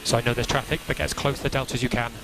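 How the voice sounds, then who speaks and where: A man working as a race engineer, giving calm, procedural briefings over team radio and repeating key instructions in a measured way. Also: medium pitch, creaky. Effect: team radio transmission. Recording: crackly noisy motor racing team radio.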